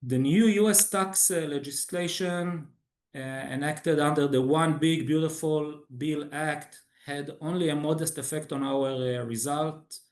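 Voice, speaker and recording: A man who speaks English as a second language, formally reading a scripted financial results presentation as a company executive, with halting pauses. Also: a little high, fairly even intonation, darkish tone, roomy sound. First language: not English. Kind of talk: scripted earnings call presentation.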